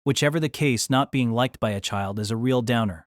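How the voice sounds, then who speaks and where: A man's voice: mid-range voice, brisk, no echo, clean studio sound, natural voice. An actor playing a wry, exasperated young man, delivering wordy first-person story narration and dialogue in a deliberating, self-conscious way. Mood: placating, wry.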